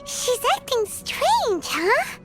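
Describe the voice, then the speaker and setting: A woman voicing a tiny, childlike fairy companion in a video game, expressive and easily indignant. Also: very slow, soft background music, heavily acted. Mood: puzzled. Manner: squeaky, expressive, speaks of self in third person.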